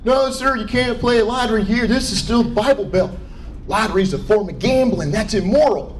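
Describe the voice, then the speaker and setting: A man's voice: high-pitched, brisk, slight background noise, pitched above the performer's own voice, natural voice, low-quality recording. A man voicing a prim, moralizing gas-station clerk in a stand-up comedy routine, scolding and indignant.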